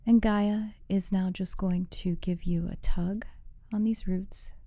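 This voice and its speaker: Female meditation guide, very soft dark tone, even pitch, soothing and measured, close dry sound. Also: dry recording. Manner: soothing, measured, with soft breathy phrase endings. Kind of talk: guided meditation, slow instruction.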